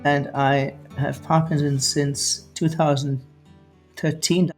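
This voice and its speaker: A man in his fifties, an English speaker, recounting his experience in a plain-spoken, slightly halting way. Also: a little high, little pitch movement, faint music behind. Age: 50s. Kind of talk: interview testimony.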